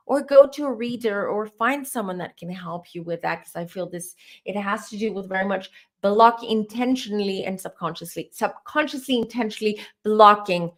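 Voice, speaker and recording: A woman hosting a live-stream tarot Q&A, her voice starting to go hoarse, who rambles as she gives advice straight to her viewers. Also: mid-range voice. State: voice going hoarse. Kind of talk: live-stream spiritual Q&A, answering chat questions.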